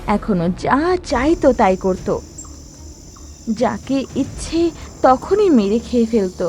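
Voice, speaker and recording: Animated woman storyteller, fairly high voice. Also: takes it slowly, a little hiss or hum. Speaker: storyteller narrator. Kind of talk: dramatic scripted cartoon story narration.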